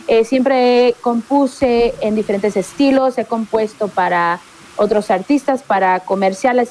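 A middle-aged woman from Mexico, a singer-songwriter, chatting casually in an interview and often pausing to search for words. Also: fairly high pitch, darkish tone, a little hiss or hum, rough recording.

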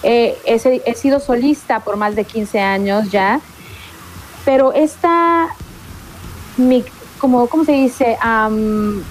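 A middle-aged woman from Mexico, a singer-songwriter, chatting casually in an interview and often pausing to search for words. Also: fairly high pitch, a little hiss or hum, rough recording.

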